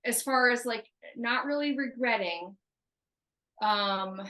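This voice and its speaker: A woman medium with a bright, slightly high voice relays a spirit's answers in a loose, casual way. She hesitates, restarts and fills gaps with "like" and "you know." She speaks of the spirit in the third person. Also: takes it slowly.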